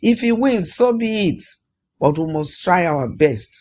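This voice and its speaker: A man's voice: sits high, animated, unhurried, a little mellow. A man voicing a loyal, confident friend in a folktale, encouraging and resolute. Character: loyal, confident male friend rallying for battle.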